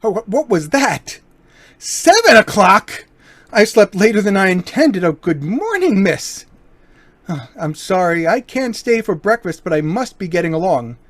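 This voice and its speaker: An actor playing a suave, courteous gentleman burglar with a coolly threatening manner in an old-time radio crime drama. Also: sits high, big pitch swings, measured pace, dry recording, exaggerated voice. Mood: breezy, polite. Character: suave, polite but menacing male gentleman burglar.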